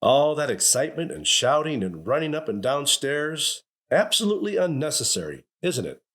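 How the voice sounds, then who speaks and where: A gruff, soft-hearted American family father in an old radio comedy. His middle-aged voice rises and falls in lively swings. He blusters and fusses like an exasperated head of the household. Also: on the high side, normal speed. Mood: musing, blustering. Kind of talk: 1950s American radio sitcom acting.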